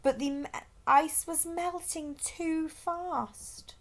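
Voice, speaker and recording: A woman's voice: very high voice, slow pace. A woman reading a children's storybook aloud expressively as a storyteller.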